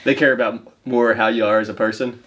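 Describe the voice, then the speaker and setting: A man as interviewer, asking brief, gentle questions. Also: mid-range voice, flat delivery, brisk, highs cut off.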